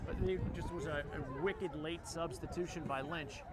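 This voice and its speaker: Excitable Philadelphia-accented sports commentator. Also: male voice, a little high. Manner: quick, jokey, rising to excited shouts on big plays. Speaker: play-by-play commentator. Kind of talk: lacrosse play-by-play commentary. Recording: outdoor broadcast with loud crowd and noise.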